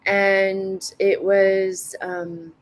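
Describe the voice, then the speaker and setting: A woman in her forties, a guest in a casual online conversation, talking in a thoughtful, soft-spoken way and laughing easily. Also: medium pitch, little pitch movement, very slow. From United States.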